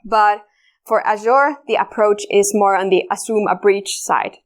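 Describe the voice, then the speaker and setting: A woman's voice: mid-range voice, steady tone, measured pace. A woman with a Finnish accent giving a conversational technical talk at a security conference, with frequent fillers and restarts. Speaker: conference speaker. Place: conference room.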